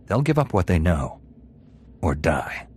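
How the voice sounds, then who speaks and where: An actor playing a hot-tempered, vengeful Jedi warrior with a blunt, assertive manner in a game. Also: male voice, deep voice, big pitch swings, a little slow, a little mellow, husky, exaggerated voice. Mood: cocky, menacing.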